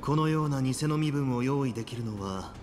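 An actor playing a composed, authoritative military official in a Japanese video game, speaking solemnly and deliberately in formal language. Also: male voice, mid-range voice, close to an everyday voice. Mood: grave, composed. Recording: game music and background noise. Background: game music.

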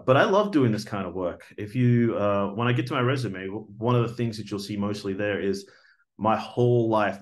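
A man in his forties with an Australian accent, earnestly introducing himself for a teaching job, with many fillers and restarts, recorded on a webcam indoors. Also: fairly low pitch. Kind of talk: video job application talk. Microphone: webcam video recording.

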